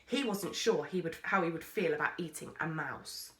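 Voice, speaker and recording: A woman reading a children's story aloud with expressive narration. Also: sits low, normal speed. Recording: roomy, echoey sound.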